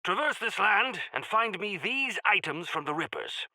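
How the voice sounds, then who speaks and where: Flamboyant, wildly swooping heroic quest-giver. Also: male voice, high-pitched, sing-song, normal speed, vocal fry, bright, forceful tone, dry recording, full character voice, poor audio. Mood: earnest, courteous. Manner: pompous, florid, theatrical phrasing. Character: grandiloquent heroic male quest-giver of a mountain folk. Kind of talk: video game character voice lines.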